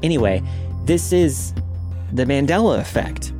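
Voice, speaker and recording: A man hosting a podcast, telling anecdotes casually in an animated, conversational way. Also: mid-range voice, unhurried, soft background music. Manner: animated storytelling with mimicked sounds. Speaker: podcast host.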